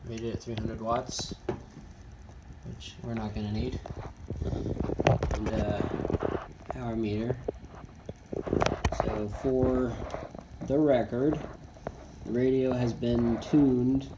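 A man giving a casual, matter-of-fact hands-on equipment demonstration, speaking haltingly. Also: medium pitch, little pitch movement, very noisy. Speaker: presenter of radio equipment tests. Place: indoors at a wooden table.

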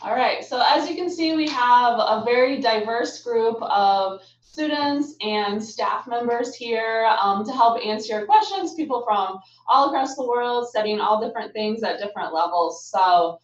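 A woman's voice: on the high side, lively intonation, a little slow, recorded from a distance. A young adult woman hosting a webinar in a friendly, upbeat way with lots of "um"s. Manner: upbeat, with frequent "um" fillers. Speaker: webinar host, international affairs staff. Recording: muffled, roomy, from off the mic.

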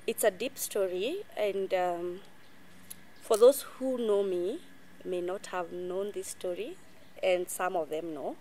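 A Kenyan woman in her thirties, a guest telling her life story in a measured, reflective way, switching between English and Swahili, recorded outdoors in a garden on a lapel mic. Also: fairly low pitch, mellow. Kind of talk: personal testimony in conversation.

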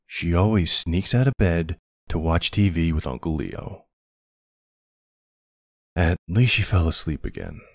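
A man playing a tender mafia boss husband and doting father in an intimate ASMR roleplay, speaking softly with hesitant pauses in a gravelly, husky voice. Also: deep voice, sing-song, no room sound, studio quality, full character voice. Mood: worried, relieved. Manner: soft, unhurried, hesitant pauses.

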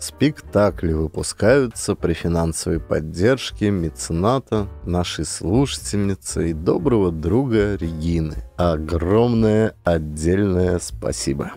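A man's voice: low-pitched, expressive, unhurried, hardly any pauses, mellow. A man narrating a scripted comic story as an actor-reader. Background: music.

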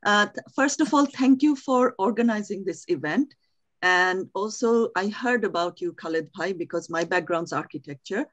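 A woman's voice: medium pitch, normal speed. A middle-aged woman from Bangladesh joining an online talk from home, chatty and a little apologetic as she shares a memory. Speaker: audience member. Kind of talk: casual question in an online talk. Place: room at home.

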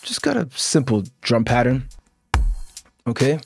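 A man's voice: mid-range voice, big pitch swings, measured pace, vocal fry. Man giving a casual tutorial over music. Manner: informal, step-by-step, often checking in with okay and right. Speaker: music production tutorial host. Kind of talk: casual software tutorial, explaining while demonstrating. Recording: music and drum samples playing behind. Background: instrumental music and beat loops.

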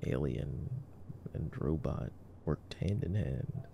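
A man doing an ASMR boyfriend roleplay, murmuring laid-back, casual movie commentary with long pauses. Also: rumbling low, animated, gravelly, warm tone. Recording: faint background noise.

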